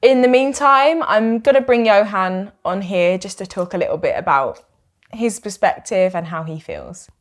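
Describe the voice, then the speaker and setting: A young woman speaks to camera from a small room at home, chatty and candid. Her pitch sits mid-range and her tone is frank and emphatic. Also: moderate pace. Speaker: vlogger. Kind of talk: casual vlog narration.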